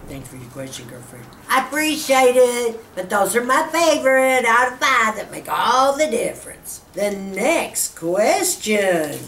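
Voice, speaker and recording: A woman's voice: a little high, big pitch swings, unhurried, few pauses, a bit bright, recorded from a distance. A woman in her fifties with a Texas accent, chatting to her viewers in a skincare Q&A and calling them girlfriend. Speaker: skincare vlogger. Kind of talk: casual Q&A to camera. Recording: echoey room, off-mic, faint hum.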